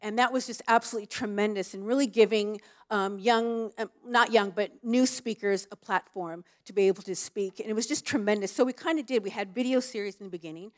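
A woman's voice: mid-range voice, a little fast, hardly any pauses. A woman leading church ministry, talking in a casual, frank way with frequent fillers. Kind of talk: casual chat.

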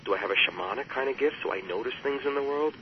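A man who is an author and guest on an interview show, talking in a measured, earnest way and often posing rhetorical questions. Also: medium pitch, lively intonation. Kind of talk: reflective interview talk. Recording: muffled radio sound with faint hiss.

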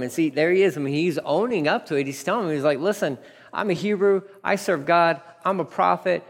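Pastor, male, fairly high voice, animated sermon delivery, slight hall reverb. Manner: animated, acts out dialogue with laughs. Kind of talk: verse-by-verse sermon.

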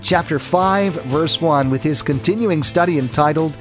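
A man announcing a sermon broadcast in a smooth announcer's delivery. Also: on the high side, moderate pace, music in the background. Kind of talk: radio program intro.

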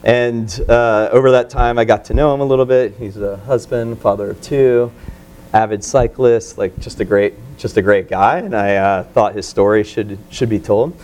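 Halting man introducing a guest. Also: lowish voice, steady tone, darkish tone. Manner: halting, many "um" and "uh" restarts, laughs easily. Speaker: moderator and teacher. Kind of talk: casual onstage introduction. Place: event room before a live audience.

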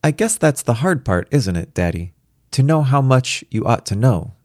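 A man's voice: medium pitch, sing-song, normal speed, no echo, studio quality, pitched above the performer's own voice, natural voice. A man voicing a precocious four-year-old girl in a storybook reading, matter-of-fact, patient and superior. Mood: thoughtful.

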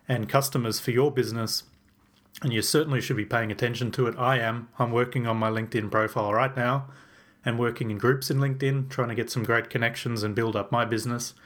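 A young man hosting a podcast, upbeat and chatty as he introduces the show and questions his guest. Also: medium pitch. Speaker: podcast host.

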